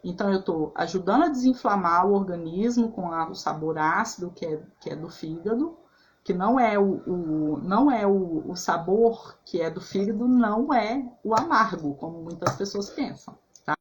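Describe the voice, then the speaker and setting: A woman's voice: mid-range voice, moderate pace, highs cut off, a little hiss or hum. A woman therapist explaining food and emotions in a chatty, conversational way, often checking in with "né?" and "tá?" and restarting her sentences. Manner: chatty, frequent "né" and "tá" tags, restarts. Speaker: holistic therapist. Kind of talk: casual explanatory talk.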